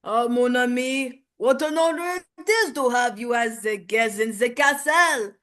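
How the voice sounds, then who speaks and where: Woman acting a theatrical French showman, slow and grand. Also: a little high, fairly bright tone. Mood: showy, excited. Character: theatrical, lively French showman castle host, welcoming guest. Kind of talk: acted character line in a voice lesson.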